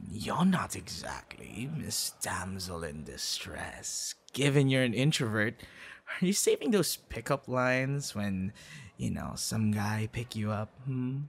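A man's voice: on the low side, gravelly, slightly rough, heavily acted. A young man acting a teasing, friendly boyfriend figure in an intimate, casual audio roleplay. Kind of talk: ASMR boyfriend roleplay, intimate one-sided dialogue.

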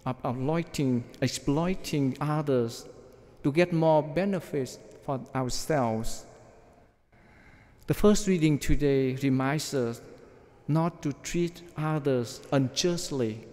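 A middle-aged man, a priest, preaching a measured sermon from notes at a church lectern microphone. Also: fairly high pitch, dark tone. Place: large church, at the ambo. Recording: long church reverb.

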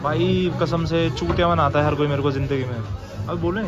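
A man's voice: fairly high pitch, fairly even intonation, a little fast, dull audio. A young man vlogging a cafe review on a phone held at arm's length, talking in a brash, mocking, slangy way. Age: young adult. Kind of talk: casual cafe review vlog. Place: busy cafe indoors. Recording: loud music and crowd in cafe.

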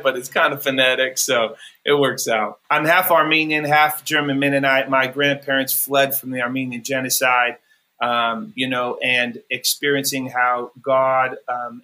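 A man's voice: medium pitch, bright, forceful tone. A man from California, a musician guest on a podcast, talking earnestly and rambling with many restarts. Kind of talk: podcast interview.

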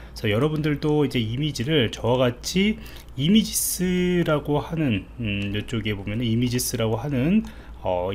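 A man's voice: mid-range voice, darkish tone. A man giving an informal step-by-step coding tutorial as an IT instructor, thinking aloud as he types. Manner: informal explanatory, thinking aloud while typing. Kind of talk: screen-recorded coding tutorial, explained step by step. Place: indoors, voice-over on a screen recording. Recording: clearly audible background noise.

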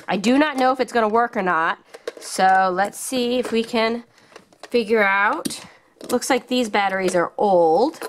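High-voiced woman, slow and enthusiastic. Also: noisy background. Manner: enthusiastic, simple child-directed phrasing. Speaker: children's toy channel host. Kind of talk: casual hands-on toy showcase for children.

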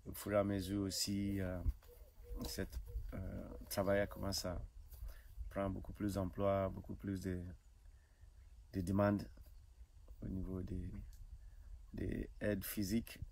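A middle-aged man from Nigeria, an evangelist, telling his story outdoors in a garden, halting and full of fillers and restarts. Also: deep voice, gravelly, a little mellow. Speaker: evangelist guest. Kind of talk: personal testimony interview. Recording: faint outdoor noise, birds.

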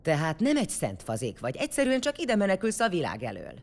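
A woman's voice: on the low side, wildly swooping pitch, darkish tone, long reverb tail. An actress playing a vengeful, sardonic woman warrior leader in an audio drama, mocking and commanding. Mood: brusque. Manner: mocking, commanding, foul-mouthed.

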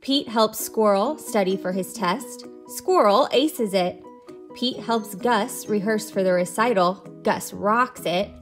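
A woman in her thirties reading and teaching for young children in an expressive, patient way. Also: mid-range voice, slow, reverberant, faint music behind. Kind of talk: children's read-aloud and lesson.

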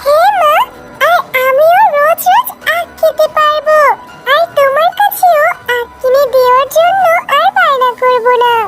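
A woman's voice: extremely high pitch, moderate pace, a bit bright, pitched above the performer's own voice. A woman voicing an eager, chirpy little fox girl in a children's cartoon story. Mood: excited. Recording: music behind the voice.

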